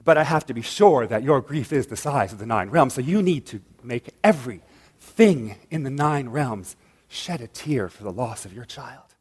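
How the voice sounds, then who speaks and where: A man voicing a cold, measured queen of the underworld. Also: medium pitch, expressive, slightly rough. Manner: cool, measured, quiet.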